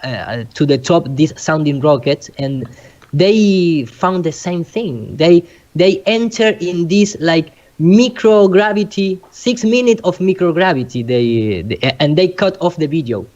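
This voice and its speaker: A slightly high-voiced man with a Spanish accent gives an informal explanation in halting English, repeating himself as he hunts for words. The sound is dull and lo-fi, like an online call. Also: expressive, darkish tone. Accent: Spanish-speaking. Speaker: guest speaker.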